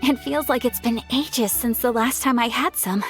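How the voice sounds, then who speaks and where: A refined young noblewoman, voiced by a woman in a game. She speaks politely and gently in a fairly high voice. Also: normal speed, music in the background, lightly acted. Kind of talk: game dialogue.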